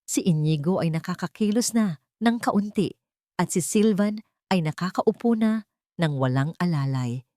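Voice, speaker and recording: A woman's voice: lowish voice, animated, moderate pace, dark tone, dry recording, clean studio sound. A woman with a Filipino accent reading a scripted dramatic love story as an audiobook narrator.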